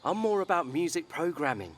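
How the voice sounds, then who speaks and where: A man's voice: on the high side, very animated, brisk. An actor playing a cheeky young man at his family's garage, in a radio soap. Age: young adult. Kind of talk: radio soap opera acting.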